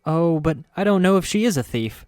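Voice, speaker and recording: Guy putting on a bubbly sweet young girl, way high and loud, bouncing all over the place, dry close sound. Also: animated, brisk, no room sound, lightly acted. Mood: fretful. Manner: bubbly, breathless and eager to please. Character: perky, sweet-natured young woman who hates being mean.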